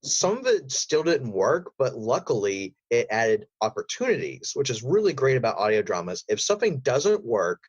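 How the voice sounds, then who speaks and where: A man speaking as a podcast guest, telling stories about creative work in a casual interview style with an animated manner. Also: medium pitch, moderate pace, hardly any pauses, slightly rough, no echo, dull audio. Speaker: podcast guest, voice actor and audio drama creator. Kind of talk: casual interview chat, storytelling.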